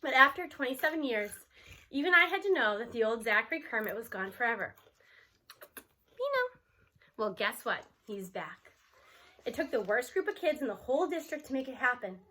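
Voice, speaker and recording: A woman narrating a storybook aloud in an expressive first-person read-aloud style. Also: a little high, moderate pace.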